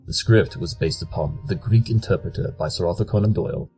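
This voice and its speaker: Low-voiced man reading scripted credits. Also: fairly quick, warm tone, faint music behind, poor audio.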